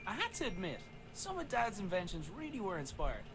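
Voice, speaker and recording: A wry young-hearted father in a storybook adventure game. He narrates reflectively in a fairly high, lively voice, with music playing clearly behind him. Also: a little fast. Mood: musing. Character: adult son and father, wry inventor's child searching. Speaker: voice actor in a video game. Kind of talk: scripted first-person game narration.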